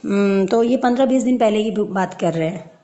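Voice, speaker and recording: A woman's voice: medium pitch, brisk, warm tone, highs cut off. A woman in an emotional personal conversation, arguing in a pleading, insistent way and repeating herself. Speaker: participant in a three-way personal phone argument.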